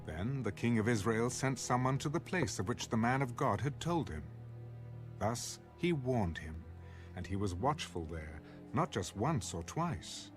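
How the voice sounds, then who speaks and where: An actor as a solemn storyteller, giving scripted dramatic narration of scripture. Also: male voice, mid-range voice, big pitch swings, unhurried. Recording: clear music under the voice.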